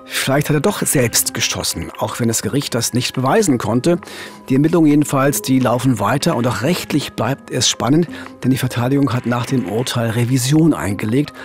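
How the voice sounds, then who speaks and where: A man's voice: medium pitch, expressive, normal speed, faint music behind. A man in his fifties telling true crime cases in a conversational, narrated podcast style as host. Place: small dark radio studio, indoors. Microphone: studio microphone on a boom arm, close.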